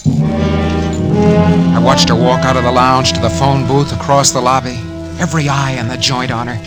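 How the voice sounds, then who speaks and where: A man's voice: mid-range voice, unhurried, lightly acted. An actor playing a guilty, nervous schemer who narrates his own crime in hardboiled slang, in 1940s radio drama style. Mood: tense, confiding. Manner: hardboiled slangy first-person storytelling. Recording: vintage 1940s radio recording, music behind.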